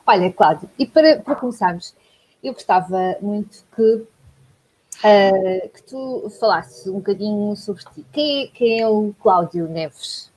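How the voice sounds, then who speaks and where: A woman hosting a casual interview, friendly and encouraging, often saying warm words of agreement. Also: mid-range voice, expressive, a little slow, slightly rough, rough recording. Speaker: host.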